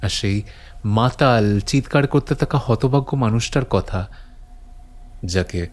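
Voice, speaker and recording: A man playing a grave first-person narrator of a horror audio story, solemn and halting, drawing out phrases for suspense. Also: medium pitch, lightly acted. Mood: grave, hushed dread. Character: grave male narrator recounting old forbidden horrors to his friend. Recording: long reverb on the voice.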